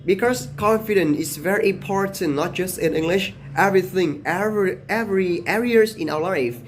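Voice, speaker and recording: A young Vietnamese man with a slightly higher-pitched voice chats to camera in English, his second language. He overpronounces every word and keeps checking in with "okay?" between fillers. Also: faint music behind. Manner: deliberately exaggerated, emphatic pronunciation; frequent "okay?" tags and "uh" fillers. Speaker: English-learning video creator. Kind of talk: casual talk to camera.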